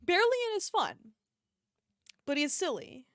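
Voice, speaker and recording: A woman's voice: very high voice, big pitch swings, slow pace, a bit bright, no echo, studio quality. A woman livestreamer chatting casually and reacting to an online shop with playful, mock-dramatic exclamations.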